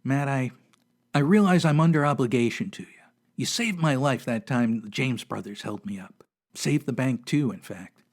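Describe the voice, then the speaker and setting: A man's voice: mid-range voice, moderate pace, natural voice. A man playing an apologetic, pressured small-town banker in a radio western, pleading and justifying himself. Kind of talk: old-time radio western acting.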